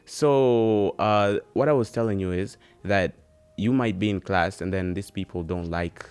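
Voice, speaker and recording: A man's voice: lowish voice, measured pace, darkish tone, smooth. A young man from Kenya answering interview questions in a loose, chatty way, often checking in with "right?". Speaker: guest.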